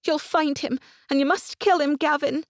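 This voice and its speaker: A woman voicing an emotional young noblewoman, speaking high and pleading. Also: normal speed, creaky, no room sound, clean studio sound. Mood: distraught.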